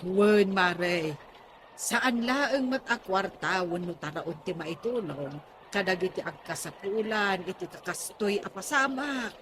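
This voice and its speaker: A woman's voice: medium pitch, measured pace, natural voice. A woman playing a helpful, dutiful village captain's wife in a radio comedy drama, earnest and neighbourly, with an Ilocano accent. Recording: radio drama with clear background noise.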